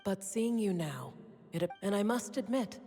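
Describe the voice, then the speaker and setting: Cold master scholar for a game, female actor: mellow dark tone, formal and analytical, reverberant over faint music. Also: medium pitch, expressive, close to an everyday voice. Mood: detached, appraising. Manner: measured, formal, detached. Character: cold, imperious, analytical master alchemist woman. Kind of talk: video game voice acting.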